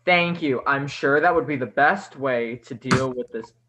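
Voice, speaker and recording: An actor in a radio thriller playing a cool chief telephone operator who speaks in a measured, formal, bureaucratic way. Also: mid-range voice, noisy background, close to an everyday voice. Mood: politely firm.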